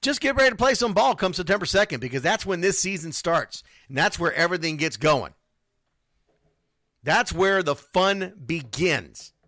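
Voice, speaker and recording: A man's voice: high-pitched, lively intonation, normal speed, bright, forceful tone, no room sound, studio quality. A man hosting an informal youth sports radio show, talking bluntly and emphatically and repeating phrases for stress. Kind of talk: informal sports talk monologue.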